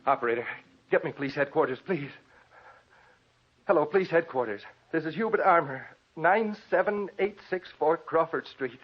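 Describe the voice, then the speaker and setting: An actor playing an anxious husband in melodramatic 1940s radio drama, with a husky voice. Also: male voice, fairly high pitch, close to an everyday voice. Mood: urgent. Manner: melodramatic, swinging between measured and frantic. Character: anxious husband protesting innocence of his wife's murder. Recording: dull muffled old radio recording.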